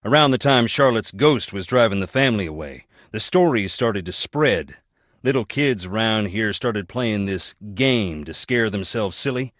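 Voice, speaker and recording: A man's voice: lowish voice, expressive, moderate pace, a bit bright, no echo, studio quality. An actor with a Southern US accent playing a blunt, folksy, brooding man haunted by family tragedy in a video game. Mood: grave storytelling. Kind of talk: video game character dialogue.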